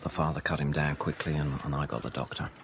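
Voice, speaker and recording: An actor playing a sombre, shaken junior police officer reporting to his chief in a radio drama, with a gravelly voice. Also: extremely low pitch, fairly even intonation, fast talker, noisy background.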